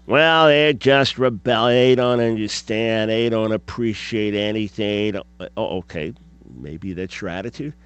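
A man's voice: fairly low pitch, measured pace, clear, resonant tone, no echo. A man in his fifties hosting a radio talk show, speaking emphatically and asking rhetorical questions. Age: 50s.

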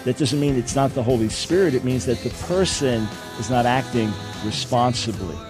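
A man hosting a call-in radio show, talking in an animated, preacherly way and acting out quoted voices. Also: medium pitch, normal speed, music in the background. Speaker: radio host. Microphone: studio microphone.

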